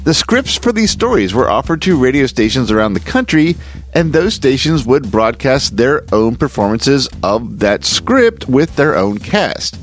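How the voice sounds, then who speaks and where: A man's voice: medium pitch, sing-song, a little slow. A man presenting as a host, reading a scripted introduction in a narration style. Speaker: podcast host. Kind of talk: scripted narration introducing old radio horror. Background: music underneath.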